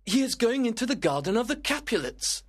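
A man voicing Benvolio, a cautious young man who speaks urgently and hushes his friend. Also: very high voice, very animated, fairly quick, full character voice. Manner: urgent, hushing.

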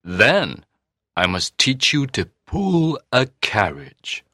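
A man voicing a calm, kind horse owner. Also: mid-range voice, slow, no room sound, studio quality, full character voice. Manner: calm, steady and reassuring.

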